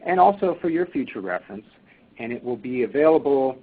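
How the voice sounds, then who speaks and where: Husky guy hosting a webinar over a tinny phone line, lots of ums. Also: mid-range voice, sing-song. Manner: halting, many fillers and restarts. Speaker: webinar moderator. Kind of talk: webinar presentation.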